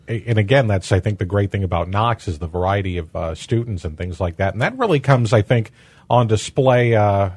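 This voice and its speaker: Low-voiced man hosting a radio interview. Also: darkish tone, breathy edge, no room sound. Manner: halting, many fillers and restarts. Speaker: radio news host.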